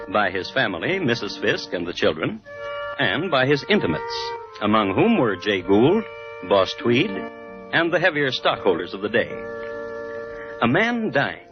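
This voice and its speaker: An actor playing a droll, morbid gentleman crime connoisseur, narrating with formal deadpan wit in a 1950s radio style. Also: mid-range voice, close to an everyday voice. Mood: solemn, droll. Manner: deliberate, deadpan, wry, formal. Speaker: host. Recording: muffled 1950s radio broadcast with music.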